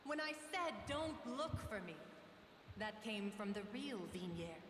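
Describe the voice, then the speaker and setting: A woman's voice: medium pitch, sing-song, measured pace, background noise. A woman playing a vengeful, ghostly collective of murdered women in a fantasy game, speaking in solemn, theatrical accusation. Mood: cold accusation. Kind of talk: dramatic video game voice acting. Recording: long echoing reverb, distant, music behind.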